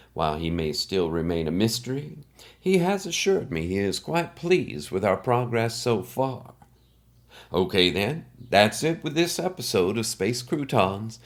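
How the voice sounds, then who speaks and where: A man hosting a podcast with scripted narration. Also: medium pitch. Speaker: podcast host.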